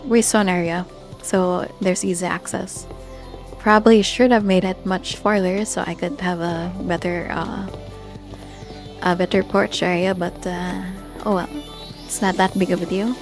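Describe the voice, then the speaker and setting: A person giving casual gameplay commentary in a hesitant, halting way, often searching for words. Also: mid-range voice, steady tone. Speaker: gamer narrating own Minecraft build tour. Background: background music.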